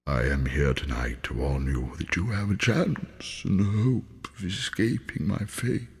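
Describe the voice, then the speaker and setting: Man voicing a hushed, slow, deep male ghost. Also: steady tone. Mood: solemn warning. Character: solemn, mournful male ghost giving grave warnings.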